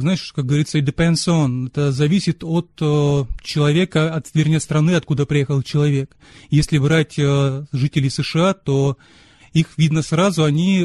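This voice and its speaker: A man's voice: fairly high pitch, a little mellow, no room sound. A man appearing as a podcast guest, speaking in a friendly, expansive way and telling stories at length. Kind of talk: casual podcast conversation. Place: remote call from Moscow.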